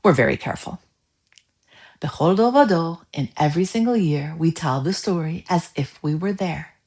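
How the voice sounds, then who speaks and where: A woman narrates a children's picture book in an expressive read-aloud style. Her voice sits a little on the low side for a woman. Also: normal speed. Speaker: storybook reader.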